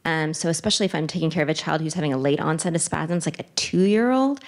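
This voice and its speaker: Low-voiced woman neurologist at a talk. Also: fast talker. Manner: thoughtful, with many fillers and restarts; gestures with her free hand while speaking. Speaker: child neurologist. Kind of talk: answering questions at a medical talk. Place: conference room in front of a wooden wall. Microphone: handheld microphone.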